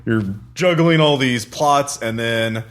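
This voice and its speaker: A man hosting a podcast, chatting and asking questions in a halting, think-aloud way. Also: medium pitch, fairly bright tone. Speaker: podcast host. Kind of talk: casual chat, interviewing.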